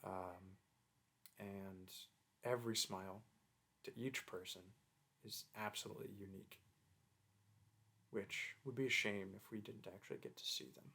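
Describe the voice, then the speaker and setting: A man's voice: lowish voice, steady tone, normal speed, pauses often, bright, forceful tone, husky. A man who does voice acting, talking to his listeners in a casual, modest, rambling and hesitant way. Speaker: voice actor, channel creator.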